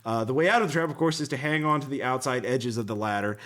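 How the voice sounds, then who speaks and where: A man's voice: medium pitch, quick pace, fairly bright tone. A man hosting a casual tabletop gaming podcast, sometimes reading rulebook passages aloud and sometimes talking conversationally. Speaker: podcast host.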